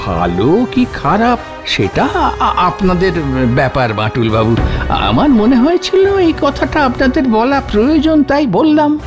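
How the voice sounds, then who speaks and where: A man's voice: very high voice, very animated, moderate pace. A man voicing a nervous, shifty guest house caretaker in a cartoon, with a hesitant, stammering, exaggerated delivery. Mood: ominous. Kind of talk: animated cartoon voice acting. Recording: loud background music.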